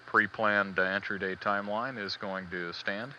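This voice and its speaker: A man giving live spaceflight status commentary as a mission control announcer, measured, hesitant and matter-of-fact, on old band-limited broadcast audio. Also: fairly low pitch, steady tone, bright, forceful tone, muffled recording, a little hiss or hum.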